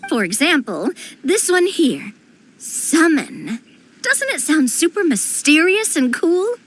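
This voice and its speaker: A woman's voice: high voice, very animated, takes it slowly, slight background noise, heavily acted. A woman voicing a playful young woman who loves risk and teases her friends. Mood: teasing. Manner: playful, teasing, enthusiastic. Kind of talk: game voice acting.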